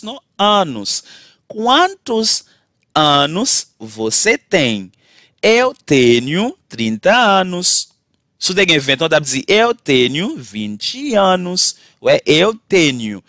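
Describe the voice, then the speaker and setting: Guy teaching a class, drawn-out practice lines, voice swings up and down a lot. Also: fairly high pitch, a bit bright, no echo, studio quality. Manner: slow, deliberate model phrases, then quick asides in explanation. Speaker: language teacher. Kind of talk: repeat-after-me language drill.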